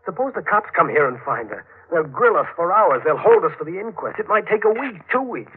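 A fairly high-voiced American man as an anxious, bossy young husband in a vintage radio thriller. He is urgent and stumbles nervously, on a narrow, hissy recording. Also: big pitch swings, brisk. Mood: urgent, tense. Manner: urgent, stammers nervously when covering up. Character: rattled young newlywed husband, bossy and scheming under pressure. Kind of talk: 1940s radio acting. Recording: tinny, noisy 1940s radio recording.

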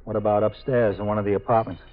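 An American man playing a brisk, watchful police sergeant who gives clipped orders in a 1950s radio drama. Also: fairly low pitch, level tone, lightly acted. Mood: brisk, alert. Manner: clipped, commanding. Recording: dull 1950s radio broadcast.

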